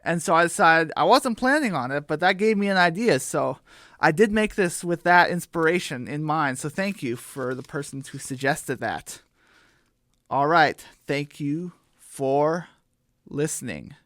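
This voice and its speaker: A woman's voice: deep voice, expressive, a little slow, a bit bright, dry recording, studio quality. A woman narrating and hosting a homemade children's radio play. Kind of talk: children's story narration and host sign-off.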